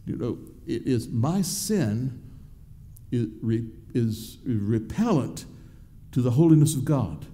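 A man preaching a sermon from a church pulpit in a halting, deliberate, explanatory way. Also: medium pitch, expressive, dark tone. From Southern United States. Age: 60s. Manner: halting, deliberate, with repeated words and pauses. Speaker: pastor preaching.

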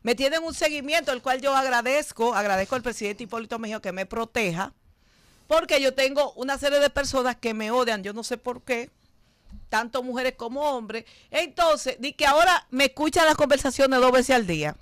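A woman's voice: high voice, brisk, dry recording. A woman in her fifties with a Dominican accent, hosting a late-night studio talk show, brash and profane. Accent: Dominican Spanish. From Dominican Republic. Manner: brash, profane and scolding, loud bursts. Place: TV studio set. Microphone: desk mic on stand.

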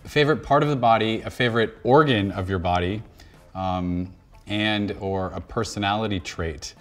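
A man's voice: lowish voice, normal speed, faint music behind. A middle-aged man hosting a studio interview, playful and encouraging, often chiming in on his guest's answers. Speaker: host. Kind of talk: casual interview chat. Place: dark studio set, indoors.

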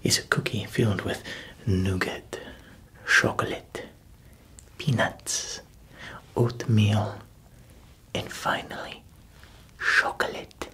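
A man in an ASMR roleplay voicing a proud male baker who whispers slowly, chuckles softly and fumbles for words as if foreign. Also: lowish voice, fairly even intonation. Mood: playful. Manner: whispered, slow, with soft chuckles.

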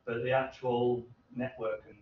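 A man in his thirties answering questions at an informal technical seminar, haltingly thinking aloud. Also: mid-range voice, flat delivery. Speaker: researcher presenting at a seminar. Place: medium seminar room with hard walls. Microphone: distant camera microphone. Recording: roomy, distant, muffled, noisy room recording.

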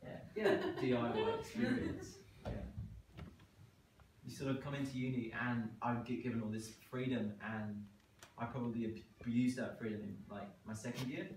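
A man playing a reflective 28-year-old man, speaking haltingly with many fillers, recorded from afar in a small theatre. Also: medium pitch, fairly even intonation, darkish tone, natural voice. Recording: echoey room, distant camera mic, background noise.

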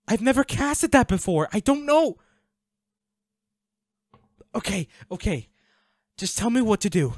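A man playing a devoted, anguished boyfriend in an intimate audio roleplay, pleading and halting with emotion. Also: high voice, sing-song, a little mellow, no echo, clean studio sound. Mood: panicked, pleading. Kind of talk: intimate dramatic audio roleplay, one-sided dialogue.

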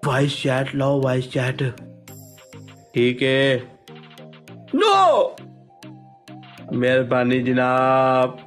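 An actor playing an excitable Punjabi soldier, calling out drawn-out, exclamatory squad commands for a game. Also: male voice, mid-range voice, soft background music, exaggerated voice. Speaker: game voice pack performer.

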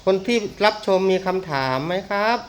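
A man's voice: high voice, moderate pace. A man speaking as a teacher to young pupils, instructing them and prompting them with questions. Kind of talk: addressing young pupils after a storytelling. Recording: faint background noise.

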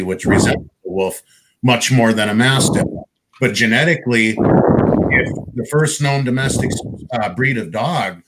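A man's voice: lowish voice, fairly bright tone, poor audio. Middle-aged guy on a webcam call from his living room, lots of ums, slight hiss behind him. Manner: rambling, thinking aloud, many ums. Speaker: guest, mastiff breeder and advocate. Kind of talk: casual video-call chat.

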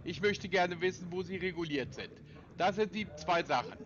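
A man's voice: sits high, expressive, moderate pace, a bit bright. Insistent man on a muffled call. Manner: persistent, insistent, repeats questions. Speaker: scam baiter posing as a caller. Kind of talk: phone call. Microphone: phone call recording. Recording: muffled phone call recording.

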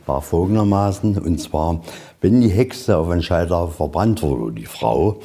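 A man with a very low, soft-edged voice leads a museum tour. He talks in plain, colloquial language and lays out each exhibit one step at a time. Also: animated, moderate pace. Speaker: museum guide. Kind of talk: explaining exhibits on a guided tour. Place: inside a museum, on location.

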